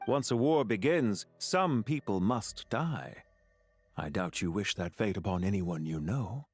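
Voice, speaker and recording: Game villain, courteous schemer, insinuating and deliberate, expressive pitch, music underneath. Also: male voice, breathy edge, full character voice. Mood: quietly menacing. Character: smooth, calculating male villain, courteous and menacing. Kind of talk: scripted video game voice acting.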